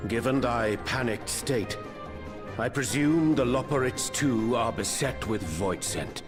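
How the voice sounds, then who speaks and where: An actor playing a grave, courtly scholar-warrior in a fantasy video game, speaking in formal, archaic phrases with a solemn, measured manner. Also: male voice, medium pitch, roomy sound, big-room sound, over music, natural voice. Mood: solemn, resolute.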